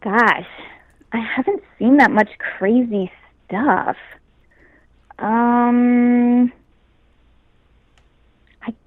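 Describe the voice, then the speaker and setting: A young adult actress with a high voice, chatting easily as an interview guest and laughing often. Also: female voice, extremely slow, pauses often, no room sound, phone-quality sound. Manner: easygoing, laughs often, talks about herself in a light way. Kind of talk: casual interview chat.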